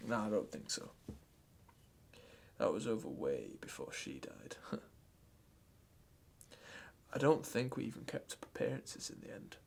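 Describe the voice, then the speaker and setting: A man's voice: medium pitch, steady tone, measured pace, vocal fry, pauses often, a little mellow, slight background noise. A man playing a bitter, grieving grandchild in a raw, conversational film monologue. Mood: resigned grief. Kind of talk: dramatic monologue in a short film.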